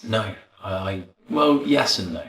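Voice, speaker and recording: Deep male voice, casual interview chat, kinda wry and hesitant, echoey and a bit distant. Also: lively intonation, a little slow, a bit bright. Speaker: interviewee in a documentary.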